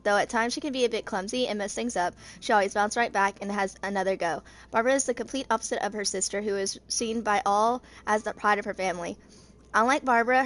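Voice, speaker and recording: A woman in her twenties reading story text aloud as an amateur video creator, haltingly, with stumbles and misreadings. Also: fairly high pitch. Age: 20s.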